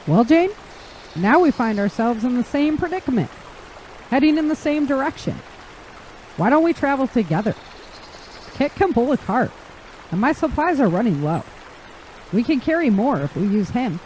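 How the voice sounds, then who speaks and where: A practical, questioning woman survivor in an audio drama. Her intonation is lively, over a faint watery outdoor background. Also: a little high, moderate pace, long pauses, a little hiss or hum.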